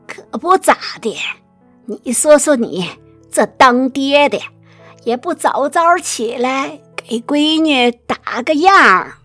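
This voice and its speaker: A woman's voice: high-pitched, expressive, slow, fairly bright tone, full character voice. A woman playing a feisty, teasing old grandmother in a radio drama, blunt and folksy, with a Northeastern Chinese accent. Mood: scolding, teasing. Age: older. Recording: music under the voice.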